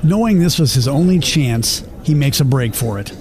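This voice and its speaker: A man playing a wry, deadpan storyteller in an old-time radio comedy, speaking in a measured way over music. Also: mid-range voice, lightly acted. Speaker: narrator. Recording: music behind the voice.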